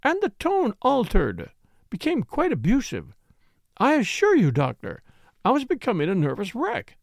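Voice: mid-range voice, wildly swooping pitch, moderate pace, creaky, no room sound, studio quality, voice pushed up, heavily acted. A reader putting on a gushing, tearful lady. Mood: aggrieved. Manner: fluttery, rambling, self-pitying. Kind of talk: audiobook character reading.

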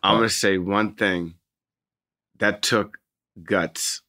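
A man's voice: lowish voice, fairly even intonation, takes it slowly, sharp tone, a little hiss or hum. A man with an American accent co-hosting a podcast in casual banter, talking in an emphatic, slangy way with some swearing. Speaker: podcast co-host.

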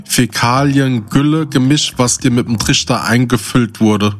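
A man's voice: medium pitch, unhurried, faint music behind. A man chatting casually as a podcast co-host, reflecting and thinking aloud in a discursive way.

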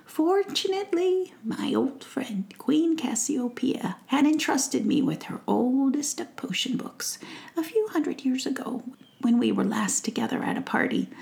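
A woman voicing a tender, ancient witch with a squeaky old voice, in a storybook reading. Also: gravelly, a little mellow.

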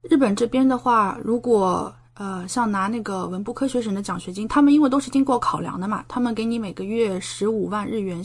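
A woman from China talking casually as an interview guest, explaining things at length with plenty of examples. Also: mid-range voice. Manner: explanatory, talkative, gives examples. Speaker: podcast guest, doctoral student in medicine living in Japan.

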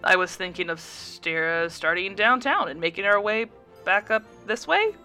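A woman's voice: medium pitch, expressive, measured pace, pushed and bright, faint music behind. Woman acting a teasing, upbeat male friend. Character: friendly adult man, teasing, eager old best friend.

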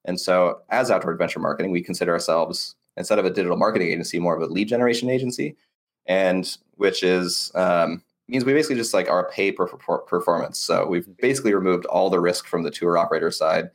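A man's voice: low-pitched, quick pace. A man being interviewed as an agency owner, explaining his work in an earnest, casual, conversational way.

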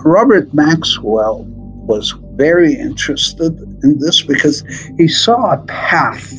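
Slow, halting man being interviewed. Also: a little high, very animated, fairly bright tone, faint music behind, low-quality recording. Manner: slow, halting, with many fillers. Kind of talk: recorded interview.

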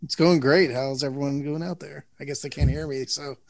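A man's voice: on the high side, steady tone, brisk, darkish tone. A man co-hosting a podcast, making easygoing, joking remarks, recorded farther from the mic. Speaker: podcast co-host. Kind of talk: casual podcast chat.

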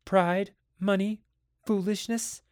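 Man doing a high-pitched, pleading wife. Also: fairly even intonation, slow pace, smooth, no echo, clean studio sound. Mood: weary, uneasy. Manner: imploring, emotional. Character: pleading, anxious wife and mother. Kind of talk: dramatic storybook narration.

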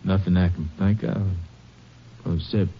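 A man's voice: sits low, fairly even intonation, normal speed, a little hiss or hum, close to an everyday voice. An actor playing an uneasy, defensive country man with a rural Southern accent, hesitant and easily riled, in a 1950s western radio drama. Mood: hesitant, evasive. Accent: rural Southern American. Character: uneasy, defensive male Texas farm brother. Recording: muffled old radio recording.

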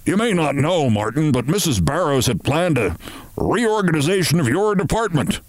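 A man's voice: a little high, very animated, no room sound, pitched above the performer's own voice. A man voicing a pompous older male boss in a story, formal and regretful, hemming and hesitating as he goes. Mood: hesitant, regretful. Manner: halting, formal, hemming with ums and uhs. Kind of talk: storybook character voice.

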